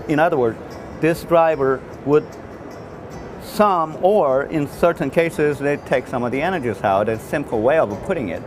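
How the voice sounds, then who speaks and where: A man who is not a native English speaker gives an unscripted technical product demonstration as a designer, in a halting, repetitive way with frequent false starts. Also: medium pitch. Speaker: loudspeaker designer presenting his product. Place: trade show exhibition space. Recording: noisy trade show floor recording.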